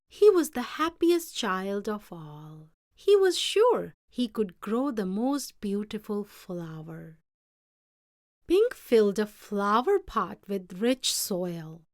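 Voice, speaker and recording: Lady reading a kids story out loud, very expressive, close dry sound. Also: mid-range voice, no echo. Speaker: storyteller reading a picture book aloud. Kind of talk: expressive read-aloud storytelling.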